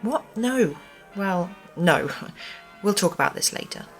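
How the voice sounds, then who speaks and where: A woman's voice: mid-range voice, animated, unhurried, faint music behind, natural voice. A woman playing a prim, officious care home matron with an English accent and formal, pompous manner in an amateur radio play. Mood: formal, condescending.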